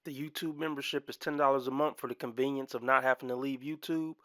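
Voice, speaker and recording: A man's voice: mid-range voice, fairly even intonation, fast, fairly bright tone, dry recording, studio quality. A man hosting a reaction commentary channel, blunt and opinionated with casual slang.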